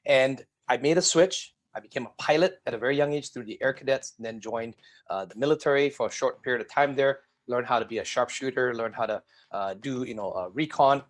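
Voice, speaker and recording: Middle aged guy from singapore, rapid motivational storytelling, talks right at the audience. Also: medium pitch. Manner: energetic, addresses viewers directly. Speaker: guest.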